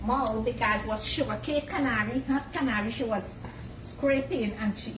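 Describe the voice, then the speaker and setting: A woman's voice: fairly high pitch, normal speed, background noise. An older woman, telling stories and giving cooking tips in a home kitchen. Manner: storytelling, instructive.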